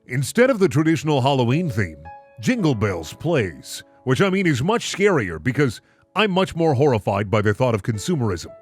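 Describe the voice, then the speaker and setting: A man's voice: mid-range voice, sing-song, moderate pace, soft background music. A man hosting a comedic reading, switching between mock-dramatic narration and casual, sarcastic commentary. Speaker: host reading and reacting to fan fiction.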